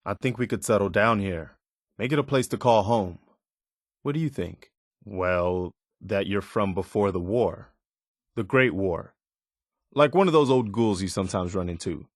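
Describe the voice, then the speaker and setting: An actor playing an earnest, wary militia man in a post-apocalyptic video game, speaking in a measured, conversational way. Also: medium pitch, a bit bright, dry recording, clean studio sound. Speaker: video game companion character. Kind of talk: game voice acting, short spoken reactions.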